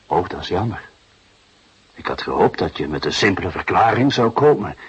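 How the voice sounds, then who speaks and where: A middle-aged police detective with a very husky, lowish voice. He is patient, wry and fatherly, musing and hesitating as he questions. It is a muffled radio drama recording. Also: sing-song, heavily acted. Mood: probing, wry. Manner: deliberate, hesitant musing with repeated assents. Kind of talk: Dutch radio play acting.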